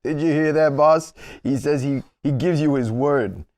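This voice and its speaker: A man's voice: a little high, a little slow, darkish tone. A man at a comedy table read playing a mocking, tough mob henchman. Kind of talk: table reading of a comedy script.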